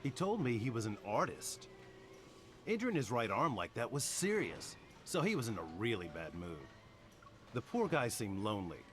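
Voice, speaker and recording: A man's voice: mid-range voice, big pitch swings, normal speed, a little hiss or hum, natural voice. An actor playing a chatty middle-aged male car mechanic in a romance game, folksy, casual and sympathetic. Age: 40s. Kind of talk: voiced dialogue in a romance game.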